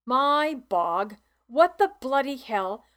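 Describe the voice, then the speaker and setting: A woman voicing a gruff, grumbling old male farmer with a Scottish accent, in an audiobook reading. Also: high voice, sing-song, slow pace, fairly bright tone, voice pushed up, exaggerated voice. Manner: gruff, exasperated, blustery.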